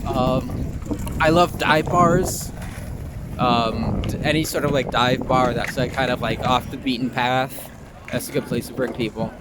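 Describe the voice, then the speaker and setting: A man's voice: medium pitch, steady tone, measured pace, very noisy. A young adult man from Long Island giving a casual, informal and opinionated interview as an actor. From Long Island, New York. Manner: informal, mildly profane, opinionated. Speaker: interview guest, actor and performer. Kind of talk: casual street interview chat. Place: outdoors in an urban public spot. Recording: outdoor street with loud music behind.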